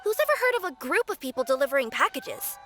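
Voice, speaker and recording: A woman's voice: sits high, quick pace, faint music behind. A woman voicing an earnest, easily flustered young cat-girl courier in an English anime game dub. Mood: earnest, businesslike. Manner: earnest, emphatic, animated.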